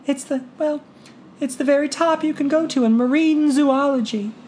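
A man voicing a shy, earnest young woman student in an audiobook, his voice pitched well up and set back in a softer, more distant tone. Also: moderate pace, a little hiss or hum. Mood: eager.

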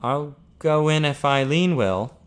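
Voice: medium pitch, a little slow, pitched above the performer's own voice, natural voice. A narrator playing a reserved young man, guarded and bland with a jealous edge, in a story reading.

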